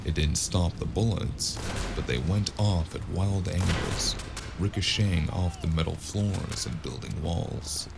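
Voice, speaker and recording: A low, creaky male voice tells a cyberpunk noir story in the first person. He plays a weary, wry gunman, delivering scripted narration with music underneath. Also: a little slow. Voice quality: gravelly. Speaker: narrator and lead character of an audio drama.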